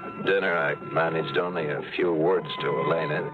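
A man's voice: extremely low pitch, steady tone. An actor playing a cocky, scheming adventurer who narrates his own story in a 1940s radio drama. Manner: wry, fast-talking, scheming. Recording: tinny old radio broadcast with music.

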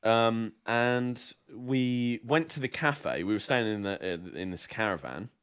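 Young guy, mid-pitched, chatty podcast co-host, rambling storyteller with plenty of fillers. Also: measured pace, a bit bright, clean tone. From southern England. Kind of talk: casual chat.

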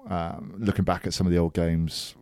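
A man's voice: low voice, little pitch movement, moderate pace, darkish tone, no room sound. A man co-hosting a casual sports podcast, rambling with frequent ums and getting enthusiastic about rugby. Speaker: podcast co-host.

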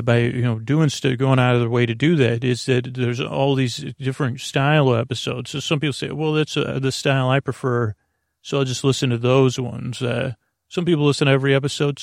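Creaky-voiced man rambling at bedtime. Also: dry recording, clean studio sound. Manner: meandering, halting, trails off and circles back; reassuring, low-pressure, addresses the listener directly. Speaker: host of a bedtime sleep podcast. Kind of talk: rambling bedtime monologue to help listeners fall asleep.

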